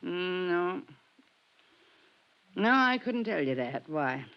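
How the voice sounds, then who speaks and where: An actress playing a blunt, folksy rooming-house manager, terse and suspicious, with a drawl, in a 1950s radio drama. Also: female voice, on the low side, very animated, slow, full character voice. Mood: grudgingly helpful. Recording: muffled vintage radio recording.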